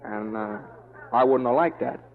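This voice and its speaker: An actor playing a mild, unflappable young deputy in a radio Western, speaking in a folksy, hesitant drawl. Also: male voice, mid-range voice, moderate pace, soft background music, close to an everyday voice. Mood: mild, amiable. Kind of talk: 1930s-40s radio acting. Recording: muffled old radio broadcast.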